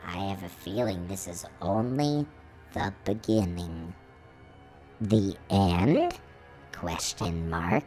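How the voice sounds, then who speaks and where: A woman performs a musical robot character hosting an online story reading. The voice sits low for a woman. Its delivery is theatrical and exclamatory, easily astonished and always reacting to the tale. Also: lively intonation, slow, long pauses, warm tone, faint music behind, heavily acted. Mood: ominous. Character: excitable musical robot reading fan fiction about himself. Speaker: channel host.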